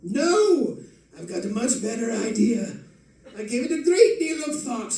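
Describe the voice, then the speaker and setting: A man acts out a smooth, taunting crime boss from an old radio thriller. His voice is very high for a man and takes its time, full of polite mockery. It comes from a distant mic in a very echoey space. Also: very animated, a little slow, dark tone, exaggerated voice. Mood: gloating. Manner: silky, taunting, mock-courteous, chuckling. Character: suave, mocking male escaped-convict villain with a gang. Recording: echoey live stage, distant mic, faint noise.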